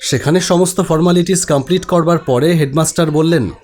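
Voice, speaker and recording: A man's voice: fairly high pitch, brisk, darkish tone. A man giving a dramatic, scripted first-person narration of a horror story. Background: background music.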